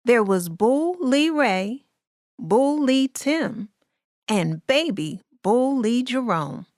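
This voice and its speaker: Slow-paced woman narrating a children's storybook. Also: fairly high pitch, no room sound, studio quality. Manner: deliberate, expressive rhyming read-aloud.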